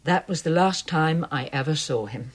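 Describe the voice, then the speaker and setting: An actress playing the doctor's nervous, earnest assistant, who speaks urgently and confidingly in a husky voice. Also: female voice, sits low, moderate pace. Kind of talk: radio drama acting.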